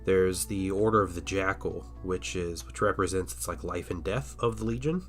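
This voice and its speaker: A man's voice: low-pitched, moderate pace, soft background music. A man with a stuffy cold and a nasal voice hosts a casual livestream while painting miniatures. He rambles, hesitates, and drops into murmurs as he works.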